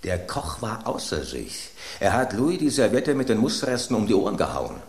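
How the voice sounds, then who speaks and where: An actor playing a gossiping loaf of bread that spreads dramatic kitchen rumours in a radio play. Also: male voice, lowish voice, very animated, normal speed, darkish tone, reverberant. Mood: gossipy.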